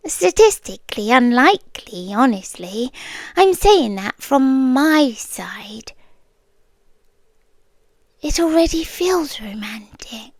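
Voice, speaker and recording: A woman's voice: on the high side, no room sound. A woman whispering as an obsessive British kidnapper girlfriend. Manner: soft whispering, slow musing monologue. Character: obsessive romantic young woman kidnapper, calm and reflective. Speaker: ASMR roleplay creator. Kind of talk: ASMR roleplay.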